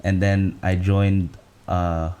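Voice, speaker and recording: Young adult male guest, deep voice, relaxed and halting, casual chat. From Malaysia. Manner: laid-back, halting with many restarts. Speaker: podcast guest.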